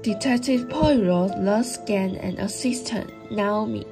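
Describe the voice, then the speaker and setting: Slow-paced young woman narrator. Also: mid-range voice, rough recording. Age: young adult. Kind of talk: scripted narration of a student English radio drama. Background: background music.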